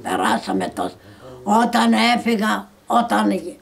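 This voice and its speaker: A woman's voice: medium pitch, wildly swooping pitch, measured pace, vocal fry. A Greek woman in her nineties with a Greek accent, telling memories casually in simple, repeating phrases. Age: 90s. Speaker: village resident recounting memories. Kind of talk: casual storytelling.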